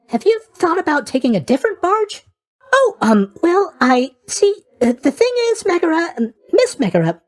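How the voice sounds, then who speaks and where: A man playing a sleepy, flippant young god of sleep in a video game, with a chirpy, scatterbrained, cheerfully tactless delivery. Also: very high-pitched. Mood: flustered, shy. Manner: chirpy, scatterbrained, mock-sympathetic delivery with stammers and trailing questions. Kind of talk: video game character voice acting.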